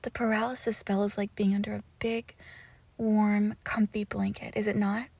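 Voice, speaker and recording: A woman playing a lovesick, possessive witch in an intimate ASMR roleplay, coaxing and teasing her captive, slipping between soft speech and slow whispers. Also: mid-range voice, flat delivery, creaky, slightly rough, no room sound. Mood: playful, coaxing.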